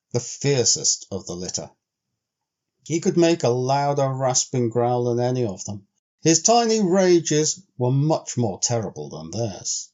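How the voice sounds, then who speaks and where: Dark-toned man reading a story. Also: mid-range voice, lo-fi sound. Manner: measured, deliberately phrased, actorly delivery. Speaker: audiobook storyteller. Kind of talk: scripted literary narration.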